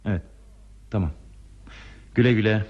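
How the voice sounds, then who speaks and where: An actor playing an earnest, anxious railway stationman in a radio play, with a husky voice. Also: on the low side, moderate pace, slight background noise, lightly acted. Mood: flustered, alarmed. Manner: earnest, repeats words when flustered. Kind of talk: Turkish radio theatre acting. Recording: muffled old radio drama recording.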